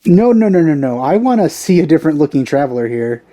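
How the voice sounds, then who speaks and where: A man's voice: fairly high pitch, very animated, fast talker, mellow, no echo. A man giving casual, musing commentary as he plays a video game, with rambling asides. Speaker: let's play host. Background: faint game music.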